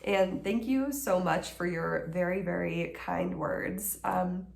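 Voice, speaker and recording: American woman, 30s, congested with a cold, unhurried and chatty vlog delivery, roomy echo. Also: on the low side, animated, gravelly, darkish tone. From Colorado, United States. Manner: chatty, rambling, with many ums and likes. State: sniffly with a cold. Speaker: knitting vlogger and doctor. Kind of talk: casual chat to camera. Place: living room at home, indoors. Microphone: camera in front at desk distance. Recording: echoey room.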